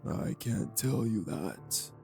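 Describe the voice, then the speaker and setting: A man playing a menacing, urbane old vampire kidnapper in an audio drama, with a measured, condescending, formal delivery and a gravelly voice. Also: lively intonation, mellow, faint music behind, exaggerated voice. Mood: smooth threatening. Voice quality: gravelly, low. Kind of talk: audio roleplay drama acting.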